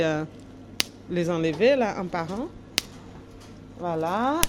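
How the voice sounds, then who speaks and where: A woman's voice: medium pitch, darkish tone, a little hiss or hum. A middle-aged woman chef from Brazil with a Brazilian accent, chatting casually while cooking, with many fillers. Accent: Brazilian Portuguese. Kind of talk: casual interview and cooking demonstration.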